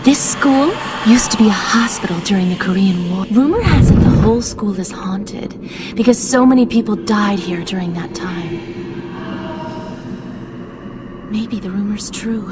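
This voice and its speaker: A woman's voice: mid-range voice, moderate pace, very noisy, natural voice. A woman playing a teasing, chatty teenage schoolgirl in a video game.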